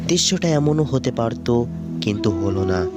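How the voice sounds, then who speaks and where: A man with a Bangladeshi accent narrates a cartoon story from a script. His mid-pitched voice sits over clear background music. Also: expressive, a little slow. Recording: music under the voice.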